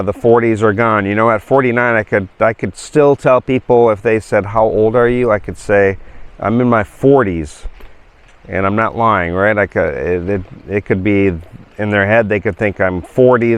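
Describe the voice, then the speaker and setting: A man's voice: lowish voice, normal speed, clear, resonant tone. A man of fifty, talking casually and rambling with many fillers as a travel vlogger showing viewers around. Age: 50s. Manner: rambling, relaxed, with frequent fillers and laughs. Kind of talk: casual walk-and-talk vlog.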